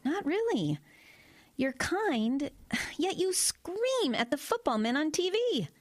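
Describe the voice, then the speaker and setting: Casting: pastor's wife, higher voice, lively intonation, frank, fond teasing, radio play. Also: female voice, takes it slowly, no room sound, exaggerated voice. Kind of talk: audio drama dialogue.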